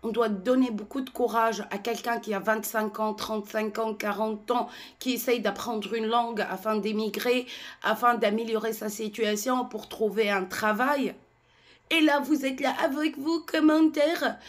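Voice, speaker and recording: Slow, indignant Tunisian woman. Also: fairly high pitch, steady tone. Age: 40s. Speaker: French teacher. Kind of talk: ranting to camera.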